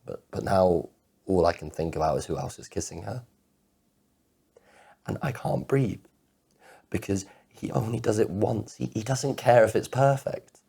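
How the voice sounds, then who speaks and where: Man acting low, gravelly, heartbroken obsessive monologue. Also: dark tone, exaggerated voice. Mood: desperate, heartbroken. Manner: halting, stammering repetition of words. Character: heartbroken man with obsessive compulsive disorder, anxious and lovelorn. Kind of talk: dramatic monologue performance of spoken-word poetry.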